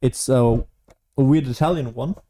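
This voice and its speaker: A man giving casual solo gameplay commentary as a streamer, rambling and thinking out loud as he goes. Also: medium pitch, normal speed. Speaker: gaming streamer.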